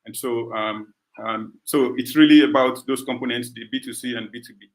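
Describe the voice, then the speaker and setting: A man's voice: medium pitch, steady tone, fairly quick, poor audio. A man answering judges' questions as a startup founder on an online call, speaking unscripted.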